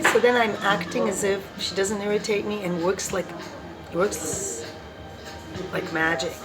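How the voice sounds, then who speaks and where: A woman with a loud voice reading and talking casually about recovery, laughing between points. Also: medium pitch. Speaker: recovery vlogger. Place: coffee shop. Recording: loud music in a coffee shop.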